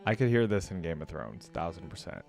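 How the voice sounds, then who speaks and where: A man with a fairly deep voice talks as the host of a reaction video. His commentary is casual and informal, musing aloud with enthusiasm. Game music plays prominently behind his voice. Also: very animated, a little fast, creaky, darkish tone. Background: game login music.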